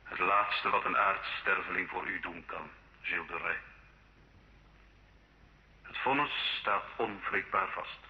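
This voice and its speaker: An actor playing a solemn church judge in an old radio drama, grave, formal and measured. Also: male voice, deep voice. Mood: grave, stern. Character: solemn church judge pronouncing absolution and death sentence. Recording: muffled old radio recording.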